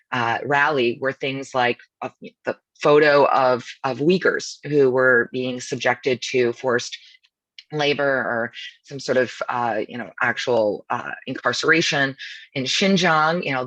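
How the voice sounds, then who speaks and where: A woman who is a law professor, speaking with a husky voice over a video call in a media briefing and explaining things in a brisk, informal way. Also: a bass voice, steady tone, fairly bright tone, dry recording, low-quality recording. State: husky voice from nonstop talking.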